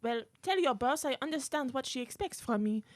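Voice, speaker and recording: A woman playing a high-voiced theatrical secretary. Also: animated, a little fast, dry recording. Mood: proud, wounded. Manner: theatrical, grandiose. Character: dramatic foreign secretary with a mysterious past. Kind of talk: student comedy radio serial acting.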